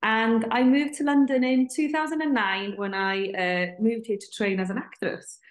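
A woman, speaking briskly and concisely as a podcast guest. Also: on the high side, steady tone. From North Wales. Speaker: guest, centre chief executive. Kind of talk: podcast conversation.

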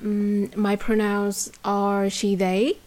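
A woman's voice: mid-range voice, monotone, takes it slowly, a little mellow. A young woman from Vietnam with a Vietnamese accent, talking as a guest in a casual interview, hesitant with many fillers and restarts.

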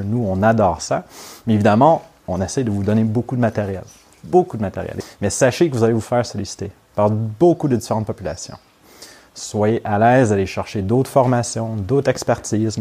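A man's voice: lowish voice, dark tone, breathy edge. A young man from Montreal with a Québécois French accent and English as his first language, opening a training course in a chatty, informal way and checking in with his class. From Montreal, Quebec, Canada. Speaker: neurofeedback trainer.

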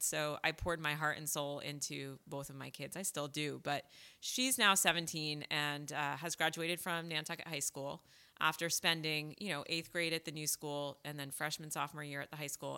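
Woman, forties, doula guest, low voice, bright tone, animated storyteller. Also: smooth. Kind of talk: casual interview chat.

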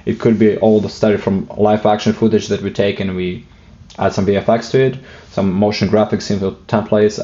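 A man with a Russian accent talks in a low, dark voice that barely rises or falls. He speaks hesitantly, with many fillers and restarts, and now and then slips in a light joke. The sound is muffled and lo-fi. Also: fairly even intonation, a little fast. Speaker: guest. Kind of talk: casual interview talk.

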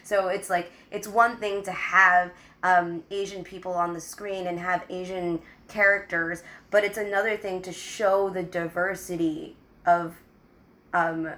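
A woman in her thirties from the United States, a guest talking casually in an interview, halting with many ums and restarts. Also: on the low side, fairly even intonation, bright, forceful tone.

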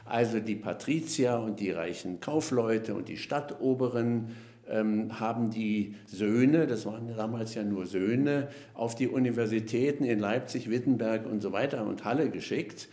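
A man with a fairly low voice lectures patiently as a podcast guest, measured and explanatory, often pausing on hesitation fillers. The sound is roomy and echoey. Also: dark tone. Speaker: linguist guest.

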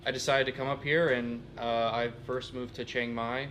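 A man's voice: medium pitch, flat delivery, moderate pace, a bit bright, slight background noise. A man from Texas introducing himself as a guest, in a modest, hesitant way. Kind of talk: casual self-introduction.

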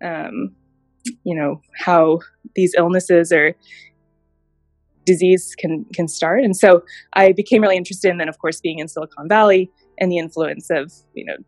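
Woman, 30s, from Japan, interview guest, lower voice, dry sound, reflective storytelling. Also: steady tone, darkish tone, no echo, faint music behind. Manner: reflective, storytelling, thoughtful pauses. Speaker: guest, healthcare innovation director. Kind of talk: interview conversation.

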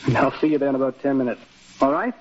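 An actor playing a cheeky, boyish young suitor with a breezy, teasing manner in a 1930s radio drama. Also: male voice, medium pitch, very fast, close to an everyday voice. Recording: muffled, hissy 1930s radio recording.

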